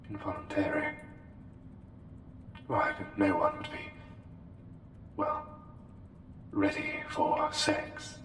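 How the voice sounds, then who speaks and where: In a reverberant hall with music behind, a man voices a courtly, intense male plague doctor, something not quite human. He speaks low and very slowly in formal, old-fashioned phrases with careful pauses, as intimate ASMR roleplay. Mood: flustered, fascinated. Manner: formal, archaic wording, hesitant pauses, deliberate.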